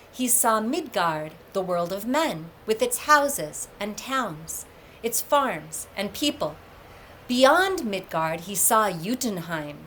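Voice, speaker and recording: A woman reading a mythic storybook aloud as a storyteller, in a measured, deliberate manner with emphatic pauses. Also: medium pitch, fairly bright tone, a little hiss or hum, poor audio. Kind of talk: scripted storybook narration of a myth.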